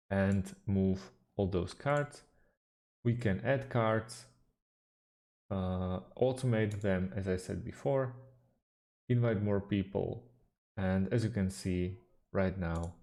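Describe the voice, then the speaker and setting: A man whose first language is Slovak talks through a computer tutorial at a slow, unhurried pace, pausing on fillers. His voice is low and mellow, with a gravelly edge. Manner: unhurried, hesitant with fillers, slightly non-native phrasing. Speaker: tutorial host. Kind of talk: screen-recorded software tutorial.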